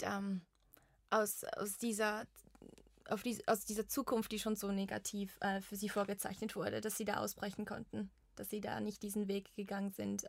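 A woman's voice: medium pitch, creaky, no room sound, clean studio sound. A woman co-hosting a podcast, asking questions and reacting with short remarks. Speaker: podcast co-host, interviewer. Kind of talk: casual interview chat.

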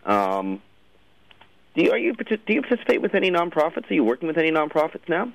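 A man's voice: fairly high pitch, huge pitch swings, quick pace, gravelly, no room sound, low-quality recording. A man hosting a conversational interview, putting questions and comments to his guest. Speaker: radio or podcast host interviewing a guest.

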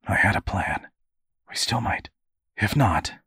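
Man as storybook father: low husky voice, sceptical, understated, quietly sardonic. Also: lively intonation, measured pace, dry recording, full character voice. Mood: hushed, urgent. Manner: muttered, understated, dry.

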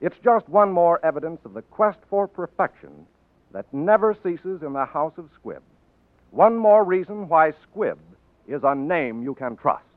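A man's voice: a little high, expressive, a little slow, no echo. A man with an American accent reading scripted announcements in formal, polished 1940s radio style. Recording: tinny 1940s radio broadcast, faint music.

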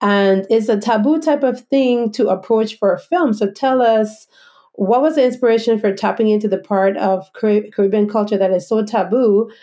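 Warm-voiced woman interviewer from the Virgin Islands. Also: mid-range voice, moderate pace. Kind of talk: casual interview.

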